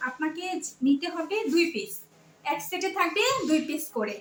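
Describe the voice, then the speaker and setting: A woman's voice: high voice, lively intonation, moderate pace. A Bangladeshi woman explaining products in an interview as a customer relations officer, repeating phrases for emphasis. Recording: echoey room, faint background noise.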